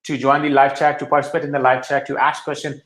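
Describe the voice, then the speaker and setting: A man's voice: medium pitch, level tone, fast, fairly bright tone. A man, a guest career adviser, explaining job searching in English with fillers and restarts. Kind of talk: live career talk show. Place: office with big windows, indoors. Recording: roomy office echo.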